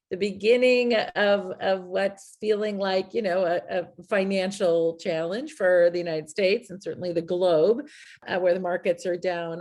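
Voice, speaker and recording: A woman's voice: mid-range voice, darkish tone. A woman hosting a podcast interview, asking questions with restarts and giving frequent encouraging affirmations. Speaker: podcast host.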